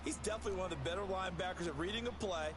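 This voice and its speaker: A man's voice: high-pitched, very fast, over loud music, lightly acted. A man playing an enthusiastic, folksy color analyst in a football video game, explaining plays. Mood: explanatory. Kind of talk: sports analysis commentary.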